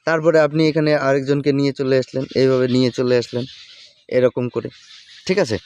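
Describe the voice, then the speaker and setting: A man's voice: mid-range voice, steady tone, fairly quick, a little mellow, highs cut off. A man hosting a casual, step-by-step app tutorial, often checking in as he instructs. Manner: repeatedly checks in while instructing. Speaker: tutorial host.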